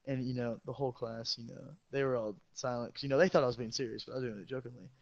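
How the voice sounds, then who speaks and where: A teenage boy, a high school football player, telling stories in a casual, profane and boastful way. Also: steady tone, fast talker, vocal fry, no echo. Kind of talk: casual storytelling chat. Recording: dull lo-fi sound.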